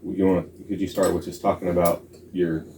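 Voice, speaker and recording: A man's voice: low voice, flat delivery, a little fast, mellow, slight background noise. A man hosting a family vlog, chatting casually and telling personal stories. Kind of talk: casual chat and personal storytelling.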